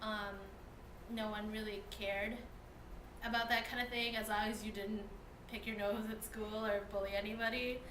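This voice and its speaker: A woman's voice: mid-range voice, little pitch movement, moderate pace. A nineteen-year-old woman from the Philippines, a student, answering interview questions in a chatty way and laughing easily. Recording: echoey room with noticeable noise.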